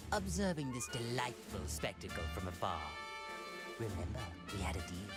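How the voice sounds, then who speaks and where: Casting: scheming, falsely courteous alien tyrant for anime game, theatrical and animated, over loud music. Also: male voice, on the high side. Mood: gloating mockery. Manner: mockingly polite, theatrical. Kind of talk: dubbed anime video game acting.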